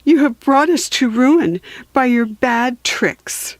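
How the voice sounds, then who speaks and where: Woman playing a slow, scolding poor village mother. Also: high voice, no echo, pitched above the performer's own voice. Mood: tearful reproach. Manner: reproachful, emphatic, slow.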